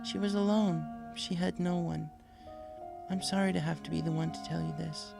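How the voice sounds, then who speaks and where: Storybook pony princess, acted by a man, raised pitch, gracious, measured, frank, soft music behind. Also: fairly even intonation, creaky. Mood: gently stern. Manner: polite, measured, soothing and formal. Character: gracious, wise female pony princess, gentle but frank. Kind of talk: storybook character voice.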